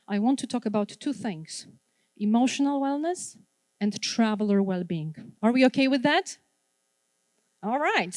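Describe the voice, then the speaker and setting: Polish lady giving a wellness workshop, higher voice, dry sound w a little hum in the back. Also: no echo. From Poland. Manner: engaging, asks the audience questions and repeats their answers. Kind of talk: interactive workshop talk to a live audience.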